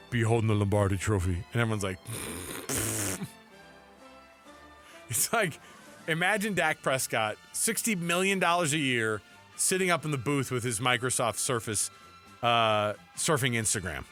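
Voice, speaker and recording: Bright-voiced American man hosting sports talk. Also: mid-range voice, animated, a little slow, faint music behind. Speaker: sports talk show co-host. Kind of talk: casual sports banter, reading quotes and reacting.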